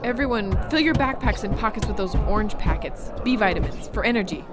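A woman's voice: medium pitch, lively intonation, fairly quick, natural voice. A young woman playing a practical, dry-witted teenage girl in a radio drama. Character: level-headed teenage girl, rich heiress. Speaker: student Jill. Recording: radio drama with loud music and crowd noise.

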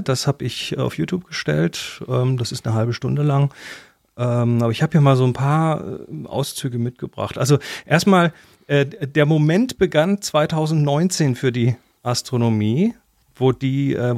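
A man's voice: medium pitch, vocal fry, darkish tone, no echo, clean studio sound. A man co-hosting a casual tech podcast, playful and teasing. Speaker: podcast co-host. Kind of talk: casual chat.

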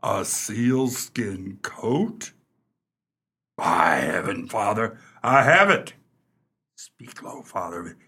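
An actor playing an earnest, melodramatic grown son of a farm couple in a comic radio play, husky and forceful. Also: male voice, fairly low pitch, sing-song, very slow, full character voice. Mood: urgent, conspiratorial.

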